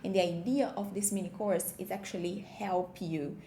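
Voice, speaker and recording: A woman's voice: mid-range voice, steady tone, normal speed, warm tone, roomy sound, a little hiss or hum. A Brazilian woman speaking English with a Brazilian accent, teaching an online language class in an encouraging way, with fillers and restarts. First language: Portuguese.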